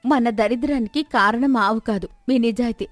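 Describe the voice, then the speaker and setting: A woman's voice: on the high side, moderate pace, dry recording, natural voice. A woman voicing a poor, discontented village wife who complains and insistently nags her husband in a folk tale. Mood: insistent, bitter.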